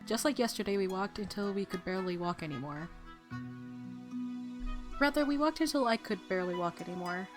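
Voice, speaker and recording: Woman gamer over clear background music. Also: mid-range voice, lively intonation, a little fast, pauses often. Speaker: let's-play host. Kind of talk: reading visual novel narration aloud with casual commentary. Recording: music clearly audible behind voice. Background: game music.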